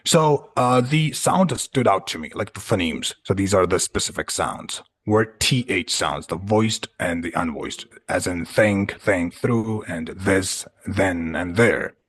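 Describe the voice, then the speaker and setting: A man from Punjab whose first language is Urdu, a guest in an online class, talking in a relaxed way with lots of "you know" and "like". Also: low-pitched, measured pace, hardly any pauses. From Punjab, Pakistan. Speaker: guest speaker.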